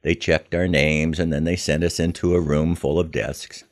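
An older American man telling a scripted first-person story in a measured, reflective manner. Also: very deep voice, level tone. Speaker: podcast host telling his memoir.

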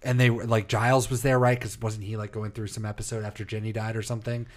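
A man's voice: on the low side, level tone, fast. A man co-hosting a podcast, rambling in a laid-back way and swearing often. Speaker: podcast co-host. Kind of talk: casual chat.

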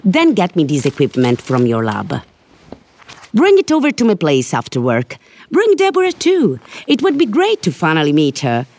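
Audio drama role: defiant female researcher, deep for a woman, wryly challenging, lively intonation. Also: no room sound. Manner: sardonic, challenging. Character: defiant, sardonic woman scientist back from an expedition. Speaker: moth researcher.

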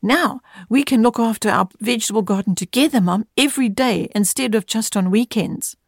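A woman's voice: on the high side, moderate pace, no echo, clean studio sound, voice pushed up. A woman voicing an earnest young boy in a storybook reading, talking in a pleading, emotional way. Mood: eager.

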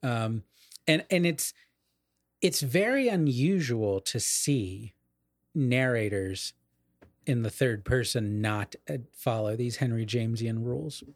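A man's voice: medium pitch, slow pace, dry recording, studio quality. A man co-hosting a casual literary discussion, explaining ideas in an erudite way and qualifying his points as he goes. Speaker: podcast co-host explaining literature.

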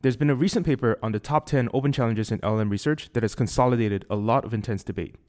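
A man with a Singaporean accent, reading a scripted podcast introduction in a brisk, informative way. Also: medium pitch, darkish tone. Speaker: podcast host.

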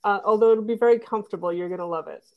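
A woman's voice: medium pitch, racing, phone-quality sound. A woman who makes comedy fiction podcasts, a guest on an interview show, who talks in a rambling way with many restarts. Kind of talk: casual interview chat.

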